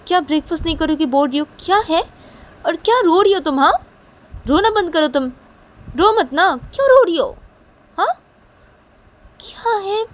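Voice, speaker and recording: A woman's voice: high-pitched, pitched above the performer's own voice. A woman voicing an exasperated mother in a story, nagging insistently and repeating herself. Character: nagging, exasperated, scolding mother of young women.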